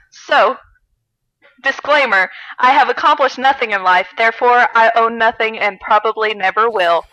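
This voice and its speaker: A woman podcast host with a bright, fairly high voice. She is giggly and wry, moving between casual chat and comic reading aloud. The sound is close and dry. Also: a little slow, dry recording, poor audio. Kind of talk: comedic read-aloud of fan fiction with casual chat.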